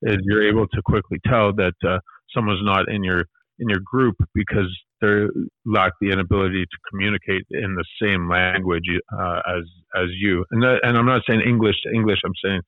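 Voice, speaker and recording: A man hosting a casual interview, asking thoughtful, measured questions with many fillers. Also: low voice, steady tone, no room sound. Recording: tinny phone-like line.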